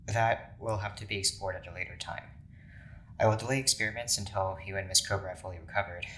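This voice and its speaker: An actor playing a stiff, formal scientist in an audio drama, speaking in precise, clipped phrases. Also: male voice, deep voice, fairly even intonation, fast talker, bright, forceful tone, slight background noise. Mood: formal concern. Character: stiff, formal scientist doctor running experiments.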